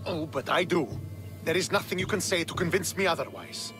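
An actor playing a bitter, proud young Spartan commander in a video game, speaking in a scornful, commanding, clipped way. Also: male voice, high voice, brisk, over music, lightly acted. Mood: angry, accusing. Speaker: military commander. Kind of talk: dramatic video game cutscene acting.

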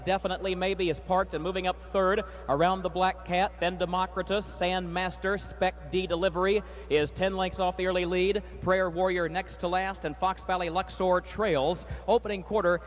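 A man announcing and calling a harness race at a state fair, his voice climbing and getting loud down the stretch, then interviewing a trainer. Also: high voice. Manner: rapid, rising to shouts at the finish. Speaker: track announcer. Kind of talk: harness race call and winner's circle interview.

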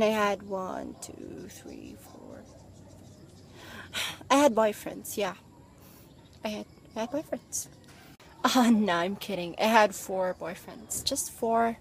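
A woman's voice: on the high side, a little mellow, slight background noise. A young woman, filming herself outdoors on a phone held at arm's length and chatting playfully through a list of facts about herself, with teasing asides and giggles. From Philippines. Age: young adult. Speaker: vlogger. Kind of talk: casual chat listing facts about herself. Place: outdoors among trees. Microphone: phone at arm's length with earphones.

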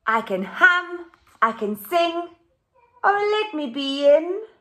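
Storybook she-bear, keen and tender-hearted, high and theatrical, performed by a woman reading aloud. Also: big pitch swings, takes it slowly, a bit bright, exaggerated voice. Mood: eager, boastful. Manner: exclaiming, dramatic, sing-song delivery. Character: eager, sensitive female cartoon bear who longs to perform. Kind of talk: children's storybook read-aloud.

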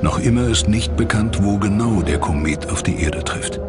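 A man narrating a scripted dramatic documentary in a measured, grave manner. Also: lowish voice. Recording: voice-over under loud music. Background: loud score.

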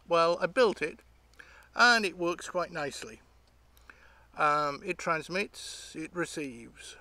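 A man's voice: on the high side, slow pace, bright, forceful tone. A middle-aged man with a British accent giving a casual, unscripted show-and-tell outdoors, explaining things in a hesitant, rambling way. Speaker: host of an electronics hobby channel. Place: outdoors by leafy trees.

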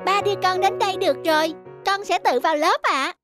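A gentle young schoolboy from a children's cartoon, speaks in a very high voice. He is plaintive and self-pitying, childlike in delivery, with music behind him. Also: brisk. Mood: downcast.

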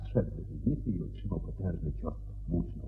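A man acting in a radio play as a brilliant, assured detective, explaining methodically and deliberately with theatrical pauses. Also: deep voice, natural voice. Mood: explanatory, measured. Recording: dull radio recording, faint noise and hum.

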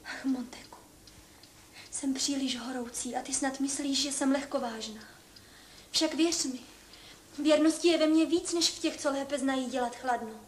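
A woman's voice: high voice, distant mic, slight background noise, lightly acted. Actress playing a young woman declaiming verse. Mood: ardent. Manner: declaiming, theatrical.

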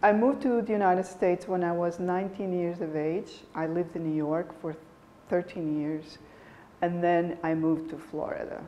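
A woman from Portugal, a native Portuguese speaker, giving an unhurried talk about her background as an artist and professor, pausing and restarting now and then. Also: on the low side, steady tone, dark tone, slight background noise. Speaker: guest artist and art professor.

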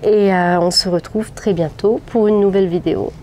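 A woman's voice: mid-range voice, fairly even intonation, moderate pace, mellow, slight background noise. A woman in her thirties with a head cold, chatting casually with many fillers and restarts as she vlogs a tour of her balcony plants. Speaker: gardening vlogger. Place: small sunny balcony outdoors. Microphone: phone held at arm's length.

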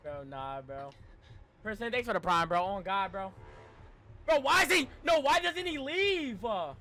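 A man's voice: high voice, expressive, unhurried, pushed and bright, a little hiss or hum. A young man live-streaming a game in casual slang, repeating himself in exasperated pleas, his voice strained as if he is losing it. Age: young adult. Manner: slangy, repetitive, exasperated pleading. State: strained voice, losing it, frequent throat clearing. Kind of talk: casual live horror-game stream, reacting and talking to chat.

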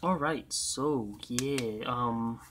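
A young man with a hoarse, worn-out voice, chatting over his own gameplay. He rambles and trails off in a tired, easygoing way. Casual commentary with a loose, unscripted feel. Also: mid-range voice, very slow, noisy background. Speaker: gaming channel host.

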